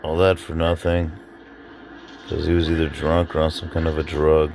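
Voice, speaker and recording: A man's voice: extremely low pitch, monotone, normal speed, creaky, a crowd in the background. A young man from the Los Angeles area giving wry, casual commentary over videos, swearing and laughing now and then.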